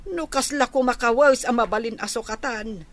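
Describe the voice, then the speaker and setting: Woman playing a high-voiced rueful village mother. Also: moderate pace, a bit bright, close to an everyday voice. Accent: Ilocano. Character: regretful, nagging village mother. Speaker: actress in radio drama. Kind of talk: Ilocano radio drama acting.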